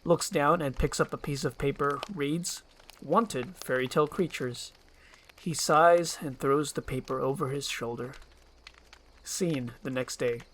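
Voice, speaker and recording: A man's voice: medium pitch, steady tone, measured pace, fairly bright tone, slight background noise, low-quality recording. A man reading a screenplay aloud as storybook narration.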